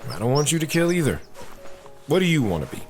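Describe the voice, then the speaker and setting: Lively, patient soldier dad, played by a man. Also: a little high, fast talker. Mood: warm, teasing. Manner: patient, earnest explaining. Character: protective soldier father, gentle and earnest with his daughter. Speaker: voice actor. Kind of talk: audio drama dialogue. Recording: music behind the voice.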